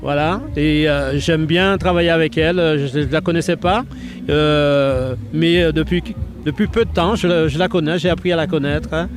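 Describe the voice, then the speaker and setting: A man's voice: a little high, steady tone, normal speed. A man from France chatting on a TV show, hesitant and full of fillers and restarts. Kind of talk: casual televised chat.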